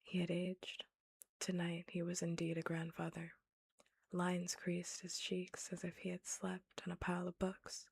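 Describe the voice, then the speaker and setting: A woman's voice: on the low side, completely flat delivery, a little slow, creaky, breathy edge. A young woman whispering through an ASMR-style book reading, chatting casually about the story with frequent "like" in between. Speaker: book reader.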